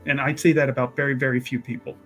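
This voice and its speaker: A man playing an ambitious, vindictive underground boss in a tabletop game, speaking emphatically and haltingly with many repeated phrases. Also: medium pitch, flat delivery, faint music behind, pitched below the performer's own voice, lightly acted. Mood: grandly approving. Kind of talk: improvised tabletop roleplay.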